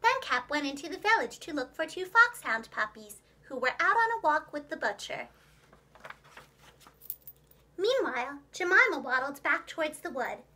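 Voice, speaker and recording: Female children's storyteller: high, bright voice, expressive and clearly enunciated, scripted storybook narration. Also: normal speed, few pauses. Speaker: preschool teacher and children's storyteller.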